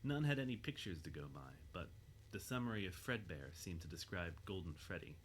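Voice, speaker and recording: A man's voice: low voice, animated, a little fast, a little hiss or hum. A man narrating a scripted audio drama as its storyteller.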